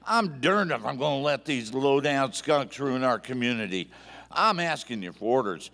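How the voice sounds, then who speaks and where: A man's voice: medium pitch, expressive, normal speed, vocal fry, a bit bright. A man playing a hot-tempered, folksy sheriff with an American cowboy drawl in a live radio play. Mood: indignant. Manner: drawling cowboy slang, exclamatory. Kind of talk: Western radio play acting. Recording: live stage hall, long reverb.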